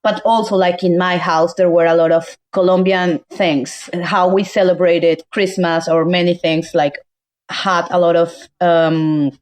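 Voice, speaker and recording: A woman with a Venezuelan accent and Spanish as her first language talks in a slightly low voice. She chats casually, as a guest on a podcast. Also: fairly even intonation, measured pace, a little mellow. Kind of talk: casual chat.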